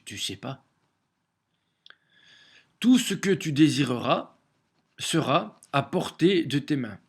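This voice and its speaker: A man with a cold, talking alone to his camera at home in a halting way, with many repeated words and restarts. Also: fairly high pitch, breathy edge. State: has a cold. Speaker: vlogger sharing daily messages. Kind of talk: casual home video monologue. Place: small room at home, facing a window.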